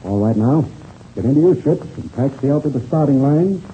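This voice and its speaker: An actor playing a formal, courteous race official in a 1930s radio serial, speaking in deliberate speeches. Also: male voice, mid-range voice, expressive, lightly acted. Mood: formal, firm. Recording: muffled old radio recording, faint noise.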